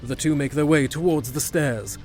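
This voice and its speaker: A man's voice: on the high side, normal speed, darkish tone. Male fantasy drama narrator over music. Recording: music behind.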